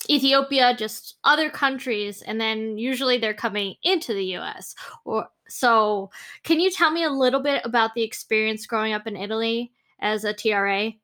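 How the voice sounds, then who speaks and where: A woman with a slightly high voice hosts a podcast. She reads a scripted welcome, then asks her guest questions in an easy, conversational way. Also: sharp tone. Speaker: podcast host. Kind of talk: podcast interview.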